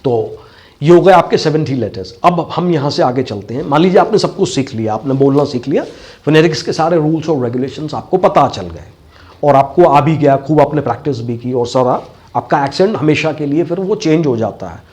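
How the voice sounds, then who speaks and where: Higher-pitched Indian man teaching. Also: expressive, fairly quick, a little mellow. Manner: didactic, repeats key phrases. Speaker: voice and accent teacher. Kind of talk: bilingual classroom lecture.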